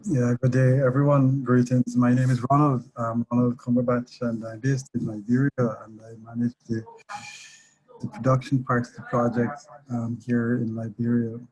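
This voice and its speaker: A man's voice: medium pitch, level tone, normal speed, darkish tone, rough recording. A man introducing himself as a project manager on a video call, hesitant and stumbling over names.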